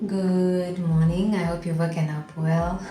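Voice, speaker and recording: A woman with a low, croaky morning voice chats easily as she walks through her kitchen, pointing things out one by one. The sound is roomy and echoey, with soft music playing underneath. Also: unhurried. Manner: relaxed, chatty, explains as she goes. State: croaky, deep just-woken voice. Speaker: mother and home vlogger. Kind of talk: casual vlog narration. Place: home kitchen. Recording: roomy echo with faint background music.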